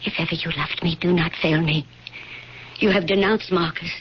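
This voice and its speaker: A woman's voice: fairly low pitch, steady tone, moderate pace, lightly acted. An actress playing a passionate young noblewoman of ancient Pompeii in a radio drama, earnest and pleading in formal diction. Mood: desperate pleading. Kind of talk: classic radio drama acting. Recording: dull, noisy old radio broadcast.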